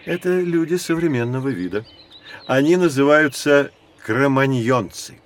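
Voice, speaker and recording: An actor playing a learned professor, explaining in a deliberate storytelling way in an educational audio drama. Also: male voice, mid-range voice, animated, natural voice. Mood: patient, instructive. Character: learned male professor guiding children through prehistory.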